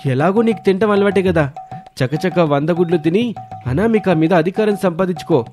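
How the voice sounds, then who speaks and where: Man voicing eager higher-pitched grown son. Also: normal speed, darkish tone, faint music behind, close to an everyday voice. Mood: eager urging. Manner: eager, urging. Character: Ramesh, eager grown son cheering on his mother. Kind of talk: cartoon moral-story voicing.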